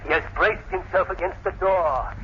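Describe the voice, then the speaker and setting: An arrogant, villainous professor bent on revenge, speaking with theatrical menace and grandeur. His pitch sits a little high for a man. It comes through a muffled old radio recording with faint noise. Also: normal speed, a bit bright. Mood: menacing. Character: arrogant, vengeful villainous male professor plotting murder. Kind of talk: 1940s radio drama acting.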